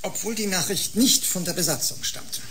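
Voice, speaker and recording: Guy acting serious codebreaker man in a sci-fi audio play, really high voice, urgent factual report, slight noise. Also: moderate pace, close to an everyday voice. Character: serious male codebreaker reporting an alien message. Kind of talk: German sci-fi radio drama acting.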